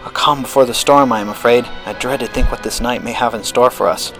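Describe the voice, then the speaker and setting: An excitable old gentleman from a storybook, put on by a man reading aloud. He sounds anxious and exclamatory, speaking in a formal, old-fashioned way with plenty of drama. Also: medium pitch, fairly quick, faint music behind, natural voice. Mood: grave, fearful. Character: excitable elderly gentleman host, anxious eyewitness.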